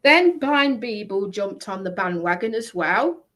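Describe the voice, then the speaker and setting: Bright-voiced, blunt woman arguing. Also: medium pitch, animated, a little slow. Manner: blunt, defensive, emphatic. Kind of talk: casual livestream chat, arguing.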